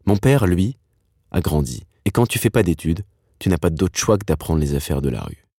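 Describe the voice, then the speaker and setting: A man's voice: low-pitched, sing-song, moderate pace, mellow, slightly rough, dry recording, studio quality, exaggerated voice. An actor playing a dreamy screenwriter in his thirties, who tells his father's story reflectively in an intimate podcast drama. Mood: nostalgic. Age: 30s.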